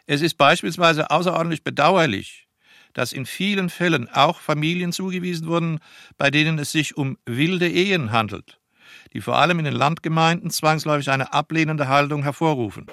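An actor playing a stern, officious functionary reading out a formal bureaucratic report. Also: male voice, on the high side, normal speed, gravelly, a bit bright, dry recording, clean studio sound. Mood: officious, disapproving.

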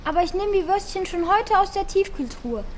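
A performer, heard as female, playing a curious, warm-hearted young child in a children's radio play. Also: extremely high pitch, expressive, brisk, slight background noise. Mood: playful, affectionate. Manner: earnest, questioning, childlike.

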